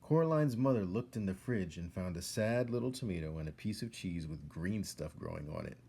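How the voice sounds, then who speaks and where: A man reading a children's story aloud as a storyteller, expressively and with dramatic pauses. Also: low voice, animated, vocal fry, warm tone, husky. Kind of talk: narrated story read-aloud.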